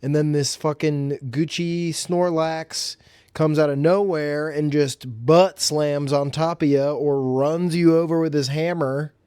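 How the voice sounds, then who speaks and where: A man's voice: a little high, steady tone, unhurried, mellow, clean tone, dry recording, clean studio sound. A man hosting a solo podcast, chatting casually and informally about films and games in slangy, hesitant phrases. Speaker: podcast host.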